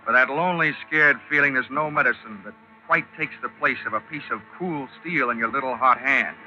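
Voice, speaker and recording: An actor playing a wisecracking, hardboiled male investigator in a 1940s radio drama, with a wry, deadpan, tough manner. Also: medium pitch, brisk, faint music behind. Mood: wry narrating. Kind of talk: 1940s radio detective narration and acting. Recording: dull old 1940s radio recording.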